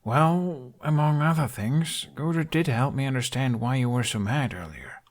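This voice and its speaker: A man playing an awkward, earnest young dragon rider in an audiobook, halting and self-justifying. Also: mid-range voice, pitched above the performer's own voice, lightly acted. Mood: earnest persuasion.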